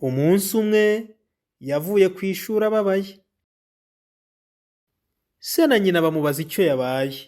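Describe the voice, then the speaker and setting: Male read-aloud teacher, Rwandan accent, slightly high voice, deliberate and instructive, for young learners. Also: expressive, darkish tone. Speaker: teacher reading a children's story aloud. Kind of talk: read-aloud lesson with comprehension questions.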